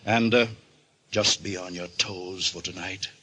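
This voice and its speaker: An actor playing a cautious doctor in an old-time radio drama, speaking in a deliberate, professional manner. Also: male voice, sits low, steady tone, pushed and bright, husky, heavily acted. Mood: measured, advising. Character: cautious, measured male doctor.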